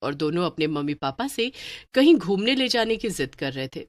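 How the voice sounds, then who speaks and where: A woman's voice: fairly low pitch, sing-song, moderate pace, no echo, studio quality. A woman reading scripted narration for a children's horror story as the storyteller.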